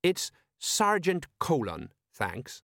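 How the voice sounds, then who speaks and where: A simple, amiable old-fashioned police sergeant played by a male narrator, raised in pitch and full of himself. He talks colloquially, with uneducated grammar and lively swings in a storybook reading. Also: slow pace, creaky, no echo, clean studio sound, full character voice. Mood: worried, self-important.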